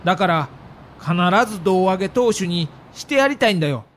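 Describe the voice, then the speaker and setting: A devoted relief pitcher in an audio documentary. His voice is high for a man, earnest and plain-spoken, with faint noise behind it. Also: lively intonation, brisk. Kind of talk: acted dialogue in an audio documentary.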